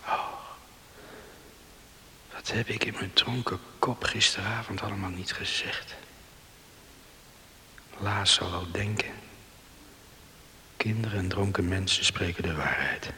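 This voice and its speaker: A man's voice: low voice, takes it slowly, long pauses, bright, forceful tone, heavily acted. A man acting a remorseful islander in a Dutch radio play, brooding aloud in self-reproach, on a hissy recording with heavy reverberation. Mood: ashamed, anguished.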